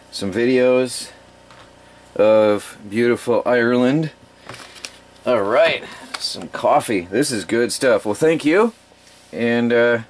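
A man's voice: mid-range voice, a little hiss or hum. A man casually narrating his chores as a homesteading vlogger, rambling and chatty as he works outdoors with a head-mounted camera. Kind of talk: casual first-person narration while working. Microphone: head-mounted action camera.

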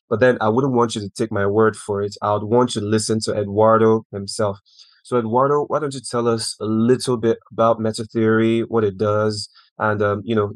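A man's voice: fairly low pitch, dry recording. A young man hosting a podcast over a video call, friendly and rambling with fillers. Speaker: podcast host. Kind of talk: casual interview. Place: small room indoors, video call. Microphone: headset.

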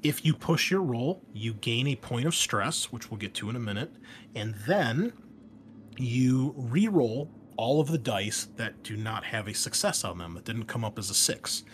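A man's voice: mid-range voice, expressive, a little slow. A man casually explaining tabletop game rules as a host, talking informally and directly to the listener. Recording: noticeable background noise.

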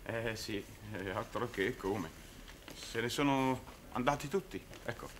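A middle-aged man, an actor playing a downtrodden, excitable circus clown in an old TV drama. His forceful, bright voice stumbles and repeats theatrically, proud and bitter, over faint soundtrack noise. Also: medium pitch, a little slow, lightly acted. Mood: dejected. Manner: stammering, repetitive, theatrical. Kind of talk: 1960s Italian television drama acting. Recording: noisy old television soundtrack.

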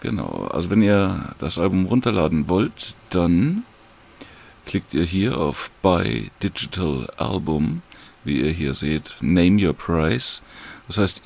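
A man casually and hesitantly talks viewers through a screen recording in an informal, unhurried tutorial. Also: low voice, creaky, slightly rough. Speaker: audiobook creator giving a download tutorial.

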